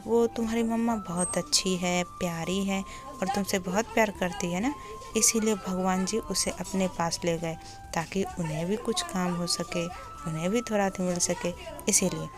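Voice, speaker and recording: A woman voicing a kind nine-year-old boy who gently comforts a crying child, with soft background music. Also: fairly low pitch, moderate pace, close to an everyday voice. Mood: soothing. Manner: gentle, soothing, soft-spoken.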